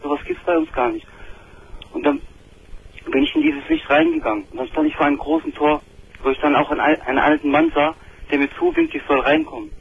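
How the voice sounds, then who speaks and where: A man's voice comes through a thin, narrow telephone line, rough and husky with a gravelly fry underneath. He speaks quickly, with a voice that jumps far up and down. He talks in an excited, halting way and repeats himself often. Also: on the high side. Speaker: caller. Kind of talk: phone-in call.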